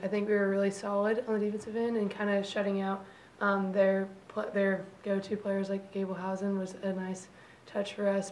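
A young woman college basketball player answering press questions at a table mic, calm and measured with many ums. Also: medium pitch, roomy sound, a little hiss or hum. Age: young adult. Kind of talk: post-game press conference answers. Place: press conference room. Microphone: table microphone.

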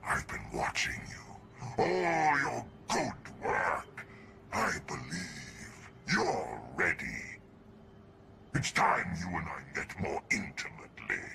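An actor playing a pompous, decadent alien emperor in a video game, with a gravelly, booming, theatrical voice that slides down to whispers as he savors his words. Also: huge pitch swings, slow, background noise, full character voice. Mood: gloating. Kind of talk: video game cutscene dialogue.

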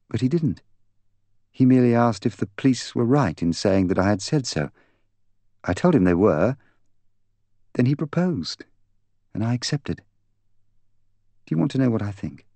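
A man plays a young woman in a mystery audiobook, proud and bitter. She speaks with candid, articulate intensity in a low register, recorded dry. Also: warm tone, husky, no echo, full character voice. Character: proud, bitter young woman confessing to killing her fiancé. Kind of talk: audiobook character dialogue.

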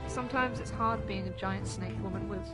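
Woman, yorkshire accent, teasing, crude jokes, gaming stream, loud game music. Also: medium pitch, a little fast. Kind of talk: casual gaming chat.

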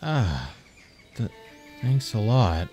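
A man's voice: on the low side, very animated, drawn-out words, warm tone, full character voice. A man playing a hapless, earnest young wanderer and failed bandit in a comic dub, hesitant and slangy. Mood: weary, sheepish. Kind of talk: manga comic dub acting. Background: music under the dialogue.

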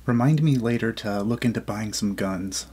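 A man's voice: fairly low pitch, warm tone, slight background noise. A man in his thirties from the United States, hosting a casual reaction video at a desk mic, upbeat and full of praise. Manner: upbeat and enthusiastic, quick to praise. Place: small indoor room at a desk. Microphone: desk condenser mic.

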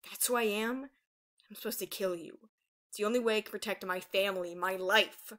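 A woman's voice: medium pitch, fairly quick, a bit bright, natural voice. A woman voicing an anguished young female ice dragon in a fantasy story, impassioned and dramatic. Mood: desperate, tormented.

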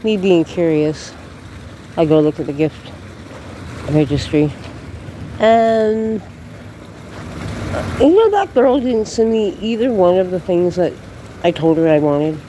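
A congested woman with a cold talks slowly into a handheld phone as she walks along outdoor sidewalks. Her chat is casual and rambling, with laughs as she tells stories. Loud outdoor noise runs under her voice. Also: on the low side. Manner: chatty, laughing, rambling storyteller. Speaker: beauty vlogger. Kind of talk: casual walking vlog chat. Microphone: handheld phone camera. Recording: noisy outdoor phone recording.

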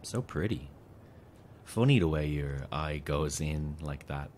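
An actor playing a smooth-talking, insistent male fan in an audio drama, flattering with hidden menace. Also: very deep voice, takes it slowly, slight background noise, full character voice. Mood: playful, teasing. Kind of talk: naturalistic audio drama acting.

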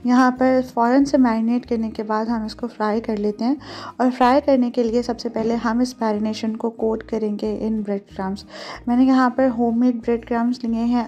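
A woman's voice: a little high, level tone, moderate pace, a little mellow. A woman giving conversational recipe instructions as a home cooking host, mixing English words and phrases into her speech.

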